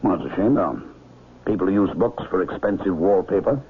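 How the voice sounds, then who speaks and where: An actor playing an easygoing, wry doctor in a radio mystery, husky and ruminative, with folksy, teasing doubt. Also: male voice, deep voice, expressive, moderate pace, heavily acted. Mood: thoughtful. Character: musing, wry, easygoing male local doctor helping an investigation. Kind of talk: 1970s radio mystery acting. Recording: tinny, phone-like vintage radio sound.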